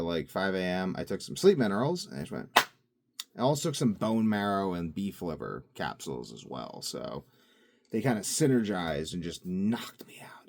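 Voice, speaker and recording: Rambling low-voiced man vlogging. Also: a little mellow. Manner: rambling, upbeat, meandering with fillers and restarts. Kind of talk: casual daily video diary.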